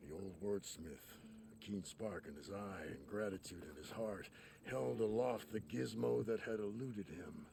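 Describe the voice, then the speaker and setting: An actor playing a hearty old wordsmith in a video game, telling things in a grandiose, theatrical, gravelly voice. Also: male voice, lowish voice, a little slow, noisy background. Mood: grand, dramatic. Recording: music behind the voice.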